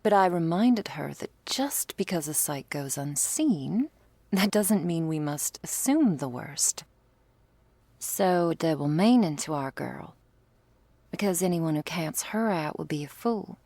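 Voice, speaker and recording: A woman's voice: low-pitched, expressive, a little slow, dark tone, dry recording, full character voice. A woman playing a teasing, doting female vampire lover in an intimate ASMR roleplay, with whispery asides and quaint, affectionate wording. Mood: fond, wry. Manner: breathy asides, pet names, quaint turns of phrase.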